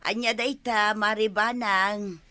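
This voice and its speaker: Slow, grumbling Ilocano wife. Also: female voice, medium pitch, steady tone, pushed and bright, no echo. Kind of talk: Ilocano radio comedy drama.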